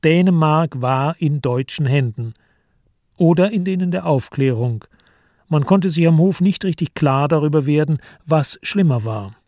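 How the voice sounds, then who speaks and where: A man narrating a literary radio drama in a composed, deliberate storytelling manner. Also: on the high side, darkish tone, dry recording, studio quality. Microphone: close studio microphone.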